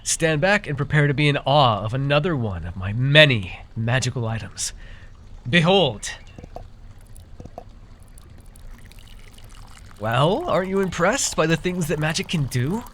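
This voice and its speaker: A man's voice: fairly high pitch, expressive, moderate pace, a bit bright, slight background noise. A man playing a proud, easily exasperated magical herbalist in a fantasy roleplay, talking straight to the listener with theatrical airs and sheepish, stammering asides. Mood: boastful, showy. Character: proud, easily exasperated young magical herbalist who gave away his name.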